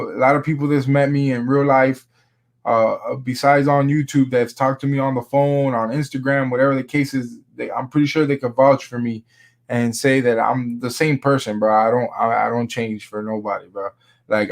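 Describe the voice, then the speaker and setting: A man's voice: mid-range voice, steady tone, normal speed. Laid-back man talking sneakers. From Miami, Florida. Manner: laid-back, slangy, says "bro" often. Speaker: sneaker vlogger. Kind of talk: casual chat.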